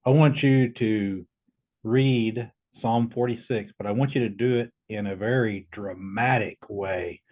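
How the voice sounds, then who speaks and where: A man's voice: lowish voice, a little slow, narrow, tinny audio. A man hosting a casual demo, talking informally and hesitantly as he tests a voice assistant. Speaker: video host testing a voice assistant.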